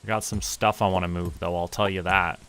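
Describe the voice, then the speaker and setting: A young man from Canada giving relaxed, musing commentary as he plays, often talking to himself. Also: low-pitched, clean tone. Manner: slow, musing, talks to himself while playing. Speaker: VTuber streamer.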